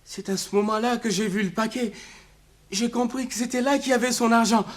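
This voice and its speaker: A man's voice: extremely high pitch, fairly even intonation, brisk. A man playing a desperate, guilt-stricken religious thief, pleading and lamenting in a French radio drama. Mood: shaken confession.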